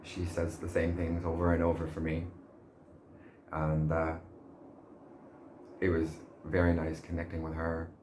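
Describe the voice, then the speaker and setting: A man with a very deep, flat voice talks slowly and deliberately in a casual vlog monologue. He pauses often and laughs softly now and then. The sound is roomy, with a faint hum behind him. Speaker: language learner vlogger.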